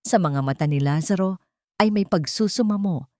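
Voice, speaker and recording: A Filipino woman narrating a scripted love story in a measured, dramatic storytelling manner. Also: lowish voice, warm tone, no room sound, studio quality.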